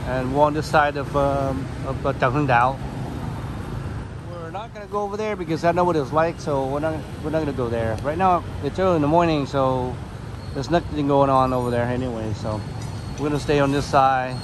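A man's voice: medium pitch, fairly quick. A man narrating a casual walking tour outdoors, rambling and pointing things out as he goes, often restarting his sentences. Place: outdoors on a busy city street. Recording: clear street traffic noise. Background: passing scooters and city traffic.

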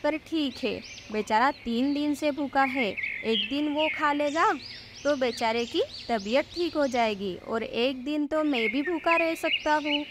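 A woman's voice: high-pitched, unhurried, heavy background noise. A woman reading a scripted moral story for children as its narrator. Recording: background music under the voice.

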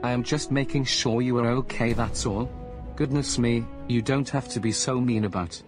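A man's voice: mid-range voice, normal speed, close to an everyday voice. A man playing an earnest, polite young guy. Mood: apologetic. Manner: earnest, apologetic, later indignant. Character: polite apologetic young man, quick to anger. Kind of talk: amateur voice drama. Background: music.

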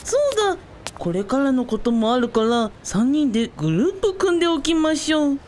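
A bubbly, eager-to-help woman, acted by an actress in a radio drama. Her voice is high and very lively, with faint ambience behind. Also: a little fast, slight background noise. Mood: bubbly, helpful. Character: bubbly, helpful woman who speaks in third person.

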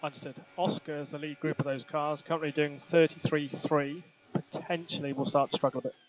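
A man's voice: a little high, flat delivery, brisk, dull audio. A man working as a race engineer, giving clipped, procedural instructions to a driver over a team radio link. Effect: team radio filter. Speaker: Formula One race engineer. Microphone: radio headset. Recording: noisy motorsport radio link.

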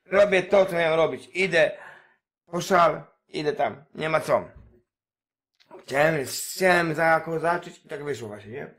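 A man's voice: a little high, a little slow, fairly bright tone. A man in his thirties giving casual, sweary, self-deprecating live commentary while playing a video game. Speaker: gaming streamer. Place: indoor home streaming room. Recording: faint background noise from game. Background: faint game ambience.

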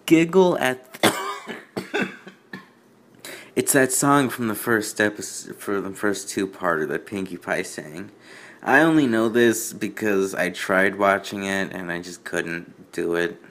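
Low-voiced man, halting sardonic commentary. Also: a bit bright. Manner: halting, repeats himself, sardonic. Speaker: host reading fan fiction aloud. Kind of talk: casual mocking commentary on amateur writing.

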